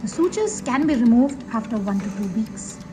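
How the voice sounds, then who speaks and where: A woman with an Indian English accent reading a scripted medical video narration slowly and evenly. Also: on the high side. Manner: slow, even reading of a prepared script. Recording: voice-over with background music.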